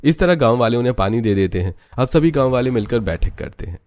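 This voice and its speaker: A man's voice: medium pitch, lively intonation, quick pace, a little mellow, dry recording. A man narrating a scripted village story for a cartoon.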